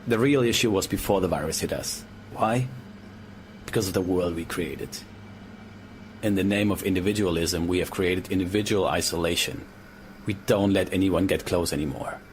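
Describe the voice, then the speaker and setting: An actor playing a bitter, resigned scientist, earnest and lecturing, in an audio drama. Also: male voice, low-pitched, moderate pace, a little hiss or hum, close to an everyday voice.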